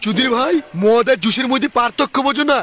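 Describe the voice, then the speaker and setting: A man's voice: extremely high pitch, quick pace, a bit bright, a little hiss or hum. A man dubbing a crude, cursing, bullying boss in a comic cartoon parody. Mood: angry. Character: bullying foul-mouthed male boss, frantic about his lost dog. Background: music.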